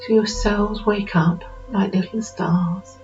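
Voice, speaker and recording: A woman narrating a guided meditation in a slow, soothing manner with unhurried pauses. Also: medium pitch, steady tone. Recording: dull lo-fi recording with music behind.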